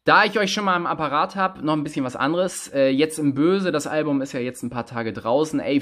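A man in his thirties talks straight into a phone held close at arm's length in a small indoor room. He tells a story like a lively, animated entertainer, his voice jumping up and down. His pitch sits in the middle range for a man. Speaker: rapper. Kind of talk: casual selfie vlog storytelling.

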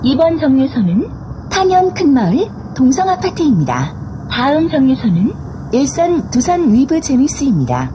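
A woman reading scripted transit stop announcements in a formal, clearly enunciated way. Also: high voice, big pitch swings, moderate pace, pauses often. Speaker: bus announcer. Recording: clear vehicle noise throughout. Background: bus ambience and vehicle noise.

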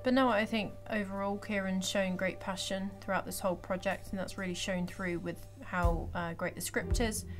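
A woman's voice: medium pitch, steady tone, moderate pace, few pauses. A young woman chatting casually as a cast member, enthusiastic and supportive in the way she talks. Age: young adult. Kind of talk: casual group chat. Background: music.

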